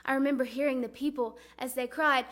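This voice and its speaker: A woman performing a dramatic religious monologue as a grieving, devout mother recalling her son, speaking earnestly and deliberately. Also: sits high, fairly even intonation, fairly bright tone. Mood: sorrowful. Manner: earnest, reverent, deliberate storytelling.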